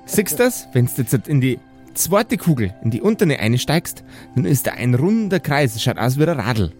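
A man's voice: medium pitch, big pitch swings, moderate pace, darkish tone, soft background music, voice pushed down, close to an everyday voice. A man with a Bavarian accent voicing an inventive, bossy mother who instructs her grown sons in an improvised fantasy audio game. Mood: proudly instructing. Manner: instructing, exasperated, rustic.

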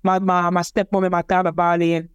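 Young woman from Cameroon, French first language, hesitant, repeats herself, smooth clear voice, dry close sound. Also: lowish voice, level tone, fast, no room sound, highs cut off. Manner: hesitant, repeats words, many fillers. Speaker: interviewee, athlete. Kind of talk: casual personal storytelling.

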